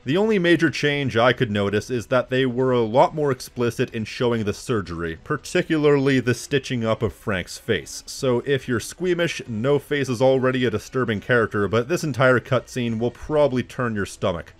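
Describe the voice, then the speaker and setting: A man's voice: mid-range voice, lively intonation, moderate pace, few pauses. A man delivering scripted video commentary as an essayist, with snide, wry asides.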